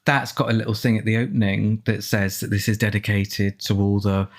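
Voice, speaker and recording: A man's voice: fairly low pitch, little pitch movement, a little fast. Thoughtful man in casual chat. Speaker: author and film commentary speaker. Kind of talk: casual chat, film commentary.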